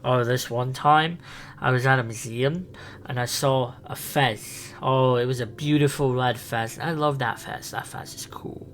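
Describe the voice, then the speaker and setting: A man playing an eccentric, excitable time traveler who rambles with enthusiasm in a fan roleplay. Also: mid-range voice, slight background noise, lightly acted. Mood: excited. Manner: enthusiastic, rambling, quick bursts.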